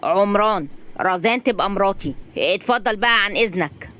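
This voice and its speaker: A woman's voice: mid-range voice, expressive, brisk, bright, forceful tone. A woman voicing a cold, hot-tempered businessman in an audio story, with a curt, impatient manner. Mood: angry.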